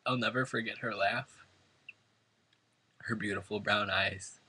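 A man's voice: lowish voice, fairly even intonation, a little slow, pushed and bright, breathy edge, no echo. A man from New York State reading a prepared eulogy at a funeral, earnest and emotional. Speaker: son giving a eulogy. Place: funeral service before family and friends.

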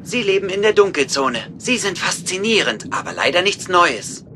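An actor voicing a clumsy, excitable animal scientist in a children's cartoon audio drama, explaining things hesitantly. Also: male voice, high voice, moderate pace, over music. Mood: fascinated.

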